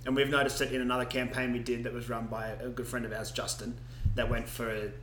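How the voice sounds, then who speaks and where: A man's voice: mid-range voice, a little fast, roomy sound, slight background noise, rough recording. A man co-hosting a casual podcast about tabletop role-playing, telling stories and laughing often. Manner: laughs often, relaxed storytelling. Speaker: podcast co-host. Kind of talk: casual chat.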